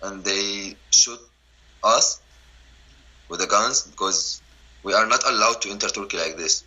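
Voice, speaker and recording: A man in his twenties from Syria with an Arabic accent, telling his story in halting, broken English full of restarts. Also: fairly low pitch, pushed and bright, dull audio. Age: 20s. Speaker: interviewee. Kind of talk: personal story, casual.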